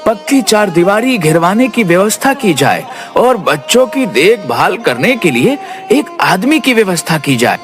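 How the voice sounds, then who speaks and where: A man playing a humble, high-voiced priest. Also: sing-song, measured pace. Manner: deferential, pleading. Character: humble temple priest pleading for orphans. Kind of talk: cartoon moral story acting. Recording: music behind.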